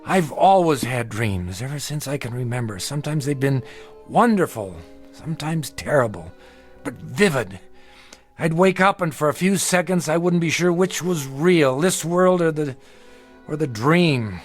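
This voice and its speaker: A man's voice: fairly high pitch, animated, a little slow, faint music behind. A man playing a troubled, earnest middle-aged dreamer in a radio drama, confiding in an intense, hesitant, brooding way. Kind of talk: radio drama acting, confessional narration.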